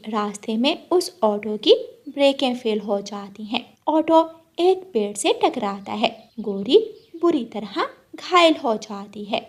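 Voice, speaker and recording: A woman narrator reading a scripted children's animated story. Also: fairly high pitch.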